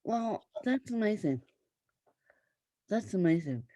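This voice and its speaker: A woman's voice: medium pitch, animated, takes it slowly, dark tone, no echo. A woman hosting an interview show, chatting with her guest and talking straight to viewers. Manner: chatty, addresses viewers as "you guys". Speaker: talk show host. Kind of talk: conversational interview.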